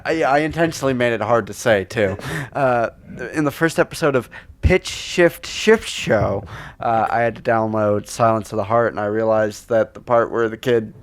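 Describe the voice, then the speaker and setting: A man's voice: mid-range voice, normal speed. A man co-hosting a casual comedy podcast, rambling and sweary, speaking close to the microphone. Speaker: podcast co-host.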